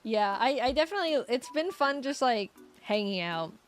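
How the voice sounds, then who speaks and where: A woman's voice: fairly high pitch, very animated, moderate pace, soft background music. A young woman streamer in casual chat with her viewers, rambling and giggly with lots of fillers, her voice getting scratchy.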